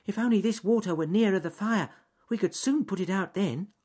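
A man voicing a polite, excitable male rabbit in a raised, light voice. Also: high voice, brisk, breathy edge, voice pushed up. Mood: eager.

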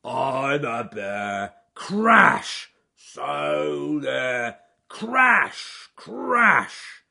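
A man's voice: fairly low pitch, big pitch swings, extremely slow, fairly bright tone, full character voice. A man voicing a large, gloomy, proud male bear in a storybook, with a growly voice and a ponderous, grumbling manner. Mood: loud, defiant. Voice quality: growly, husky.

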